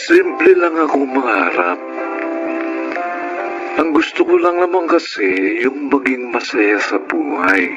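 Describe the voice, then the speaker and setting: Dull recording over music, Filipino radio drama, earnest young man, apologetic, unhurried, expressive pitch. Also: heavily acted. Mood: wistful, hopeful. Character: mild, earnest young man, struggling writer, youngest sibling.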